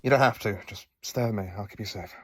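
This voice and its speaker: An actor playing a quirky, knowing professor who travels in time, explaining things wryly and haltingly in an audio drama. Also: male voice, fairly low pitch, heavy background noise, lightly acted. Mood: reassuring.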